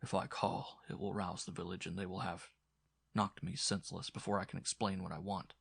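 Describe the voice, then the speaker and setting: A poor tramp in a dark story, played by a male narrator, downtrodden and earnest. His voice has a gravelly grain and is recorded dry and close. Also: sits low, level tone, fairly quick, no echo, full character voice. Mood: hushed, brooding. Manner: murmured brooding thoughts or urgent calls. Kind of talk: audiobook horror story acting.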